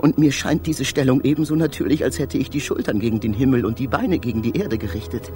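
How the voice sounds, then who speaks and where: An actor playing an awestruck traveller in space, a dreamy and proud poet-philosopher, declaiming in a literary German radio drama. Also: male voice, medium pitch, fast, mellow, faint music behind, close to an everyday voice. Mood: philosophical wonder. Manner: declamatory, rapturous, theatrical.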